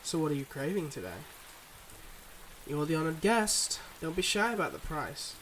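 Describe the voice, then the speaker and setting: Male actor as suave mob boss boyfriend, fairly high voice, confiding and teasing, intimate ASMR roleplay, rain behind. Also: big pitch swings, normal speed, fairly bright tone, a little hiss or hum, poor audio. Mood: charming, relaxed. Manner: smooth, confiding, teasing, speaking to a listener.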